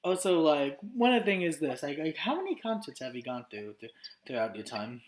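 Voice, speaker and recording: A man's voice: on the high side, very animated, fairly quick. A man from Romania chatting casually as interviewer, stammering and hesitant with lots of fillers. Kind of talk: casual chat.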